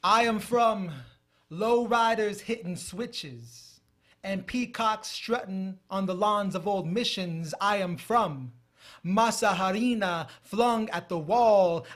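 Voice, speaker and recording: A man with a fairly high voice delivers spoken-word poetry in a measured, rhythmic cadence. Between pieces he talks more quickly and lets his sentences trail off, as a guest on a studio talk show. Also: fairly bright tone. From United States. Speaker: poet guest. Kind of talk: poetry recitation and interview answers.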